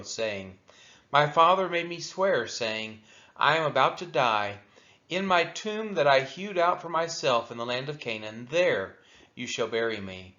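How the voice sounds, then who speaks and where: A man reading scripture aloud for a daily read-along, with measured, solemn and deliberate phrasing. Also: mid-range voice, a bit bright. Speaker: Bible reader for a church daily read-along. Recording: dull, lo-fi sound.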